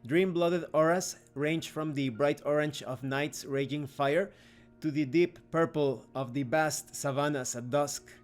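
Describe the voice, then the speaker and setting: A man's voice: on the high side, a little slow, soft background music. A man hosting a review video, narrating from a script in an explanatory style. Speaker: video host, game reviewer.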